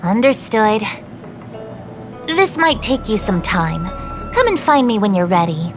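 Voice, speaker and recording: A woman's voice: medium pitch, sing-song, a little slow. An actress playing a polite, orderly young woman government clerk in a video game, explaining things formally and earnestly. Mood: polite, businesslike. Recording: music under the voice.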